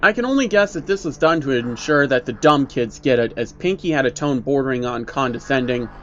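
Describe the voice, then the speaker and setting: A man's voice: medium pitch, animated, a little fast, fairly bright tone. A man narrating a scripted story in a casual, sardonic reviewer's manner. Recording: dull lo-fi recording with faint noise.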